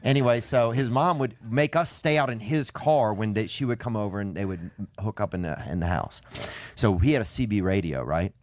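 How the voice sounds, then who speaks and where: A man telling comic stories casually in a rambling, colloquial way with folksy deadpan phrasing. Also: on the low side, brisk, a little hiss or hum. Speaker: podcast storyteller. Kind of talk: casual comedic storytelling.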